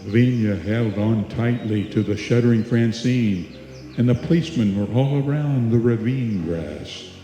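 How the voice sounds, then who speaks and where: A man's voice: lowish voice, a little slow, natural voice. A man narrating an old time radio suspense tale as a solemn, dramatic storyteller. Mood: solemn, ominous. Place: live stage performance in a hall. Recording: echoey live hall, music behind.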